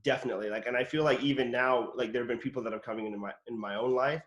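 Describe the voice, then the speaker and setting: A man in his late thirties hosts a video-call interview, talking chattily with open enthusiasm and admiration. His voice has an ordinary middle pitch and carries the echo of a roomy space. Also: steady tone, rapid-fire. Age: late 30s. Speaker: host, actor. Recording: roomy echoey room.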